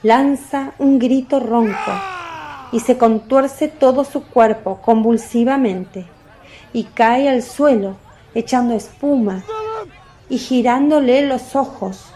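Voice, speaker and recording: Female narrator for biblical radio play, unhurried, soft mellow tone, slightly high register. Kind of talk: scripted dramatic narration.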